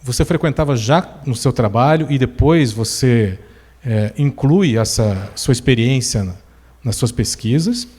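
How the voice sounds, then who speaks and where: A man with a Brazilian accent moderating a stage conversation, haltingly working his way toward his questions. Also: mid-range voice, lively intonation, darkish tone, slightly rough. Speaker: interviewer, moderator. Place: indoor cultural venue, stage talk. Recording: reverberant hall.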